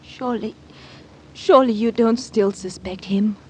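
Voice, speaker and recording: An earnest, anxious wife in a vintage radio thriller, played by an actress. Her voice sits at a middle pitch, dramatic and resolute. There is faint noise on the old recording. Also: a little slow, a little mellow, close to an everyday voice. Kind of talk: 1940s radio acting.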